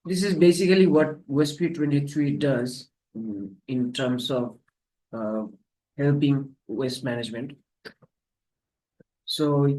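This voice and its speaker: Man hosting a webinar, measured. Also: on the high side, dark tone, poor audio. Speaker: webinar host. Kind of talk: webinar presentation.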